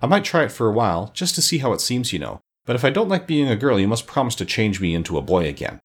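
A man voicing a plucky, nervous young boy in a storybook, plain-spoken and excitable. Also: fairly low pitch, fast. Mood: hesitant. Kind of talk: storybook character voice.

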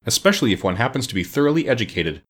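A man voicing a pompous, self-satisfied, highly educated giant insect in a storybook. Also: sing-song, rapid-fire, fairly bright tone. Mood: proud. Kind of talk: storybook character voice.

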